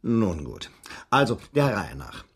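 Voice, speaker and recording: Husky, persistent, sceptical investigator. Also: male voice, on the low side, very animated, slow, a bit bright, full character voice. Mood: probing. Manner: formal, probing, methodical. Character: persistent, sceptical male investigator questioning a suspect. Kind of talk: German radio drama acting.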